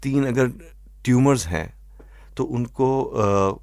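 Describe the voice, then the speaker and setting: A man with an Indian accent answering as an expert doctor in an interview, measured and methodical, mixing in English phrases. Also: mid-range voice, darkish tone, no echo, clean studio sound. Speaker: eye doctor, expert guest.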